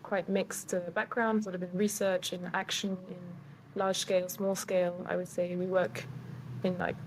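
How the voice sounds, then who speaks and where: A woman in her thirties from Sweden, an architect, answering podcast questions calmly and hesitantly with many fillers. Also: lowish voice, moderate pace, noisy background. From Sweden, raised in several countries. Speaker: architect, guest.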